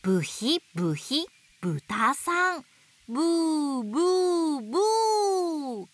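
A gentle motherly owl from a children's program, reads picture books slowly in a high, very lively voice. She is sing-song and playful with sound words, with some light nature noise behind her. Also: heavily acted. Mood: playful storytelling. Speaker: picture book storyteller for babies. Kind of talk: picture book read-aloud for toddlers. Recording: faint background noise of nature.

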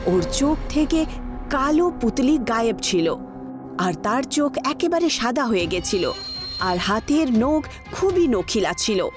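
A woman's voice: a little high, big pitch swings, unhurried, music in the background. A woman narrating a scripted horror story in a dramatic storytelling style.

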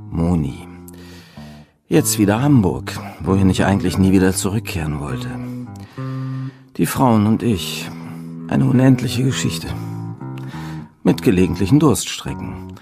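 A man's voice: low-pitched, animated, a little slow, pauses often, over music. An actor playing a world-weary, womanizing police detective in a radio crime drama, wry, dry and conversational. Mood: wry, musing. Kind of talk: German radio crime drama acting with inner monologue.

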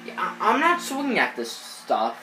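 A man's voice: high-pitched, huge pitch swings, moderate pace. Sniffly young man narrating gameplay. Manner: chatty, rambling, many fillers and restarts. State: congested with a cold, sniffling. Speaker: gaming channel host. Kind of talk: casual gameplay commentary. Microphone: off-screen voice-over on gameplay footage. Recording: echoey room with faint noise.

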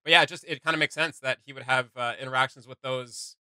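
A man's voice: medium pitch, steady tone, fast, bright, forceful tone, dry recording, clean studio sound. A young man chatting casually as a reaction video host, with loose, slangy delivery. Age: young adult. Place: small home room with desk, indoors. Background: music.

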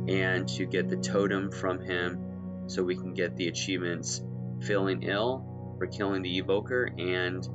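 A man recovering from illness and a bit hoarse, giving a relaxed step-by-step game walkthrough with lots of ums. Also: sits low. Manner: relaxed, many ums and filler restarts. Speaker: gaming guide creator. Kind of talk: walkthrough commentary over gameplay. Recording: music playing behind.

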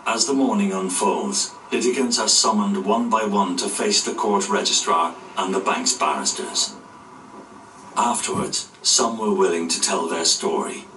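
A man's voice: on the low side, a little slow, bright, forceful tone, slight background noise. A man reading scripted narration for a television documentary. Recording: roomy, distant re-recording off a television.

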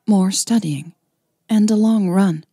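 A woman's voice: medium pitch, slow, warm tone, husky, no room sound, studio quality. A woman narrator playing a reserved, studious young opera singer who answers tersely in an audio romance. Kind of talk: audio romance story dialogue.

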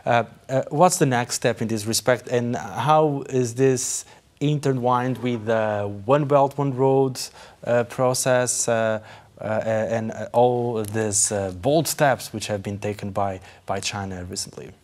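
A man's voice: mid-range voice, takes it slowly, a little mellow. A man hosting a television talk show, asking long, winding questions full of fillers.

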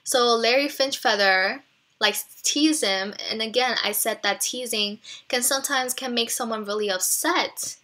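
Female teacher, bright clear-cut tone, expressive picture-book read-aloud with explanatory asides. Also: a little high, unhurried. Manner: expressive, explains to young listeners with check-in questions.

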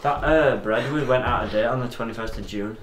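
A man's voice: mid-range voice, fairly quick, a crowd in the background. A man with a Northern English accent, curiously pointing out things he finds while exploring an abandoned house. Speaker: urban explorer. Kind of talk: casual banter while exploring.

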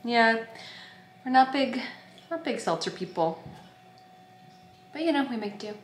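A woman's voice: a little high, animated, measured pace. A woman in her thirties chatting casually to a camera at arm's length, with a playful, gushing way of talking. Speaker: vlogger. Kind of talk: casual vlog chat. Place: home kitchen and dining room, indoors. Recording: roomy echo, light background noise.

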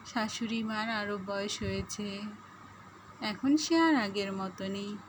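Female cartoon storyteller: informal narration, unhurried, slightly high voice. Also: slight background noise, low-quality recording.

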